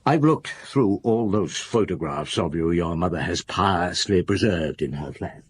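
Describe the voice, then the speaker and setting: Husky, weary police chief inspector. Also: male voice, low-pitched, lively intonation, full character voice. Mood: probing, scornful. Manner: deliberate, probing, authoritative. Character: weary, probing middle-aged male French police chief inspector. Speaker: lead actor and narrator in a radio detective drama. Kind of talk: radio drama acting.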